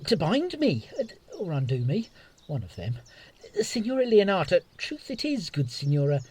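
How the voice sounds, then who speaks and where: An actor playing a witty, smitten bachelor lord in Shakespearean audio drama, halting and savouring his words. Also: sits high, sing-song, a little mellow, slightly rough, exaggerated voice. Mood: awkward, earnest. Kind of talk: Shakespearean audio play acting.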